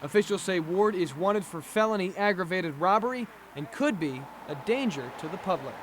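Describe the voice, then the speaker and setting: A man's voice: high voice, expressive, moderate pace. A man with an American accent delivering scripted television news narration as a reporter. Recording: faint background noise.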